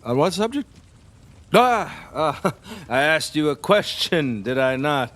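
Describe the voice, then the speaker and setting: A man as a grandiose tetrarch, bright forceful voice, lively pitch, boastful, uneasy, rambling, audio drama. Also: slow pace, full character voice. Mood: distracted, uneasy. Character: pompous, lecherous, superstitious tetrarch king, boastful and uneasy. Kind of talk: theatrical audio play acting.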